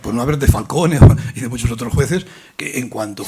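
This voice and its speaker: A man's voice: mid-range voice, expressive, moderate pace, very noisy. A man speaking at a public colloquium, rambling through a long explanation with many restarts and fillers. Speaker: invited speaker. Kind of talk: lecture-style talk in a colloquium.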